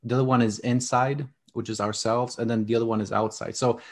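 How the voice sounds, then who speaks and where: A man's voice: mid-range voice, flat delivery, fairly quick, a little mellow. A man whose first language is Polish, speaking English as an interview guest, earnest and full of restarts. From Poland. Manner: earnest, many restarts and fillers. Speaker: guest author. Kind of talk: conversational interview.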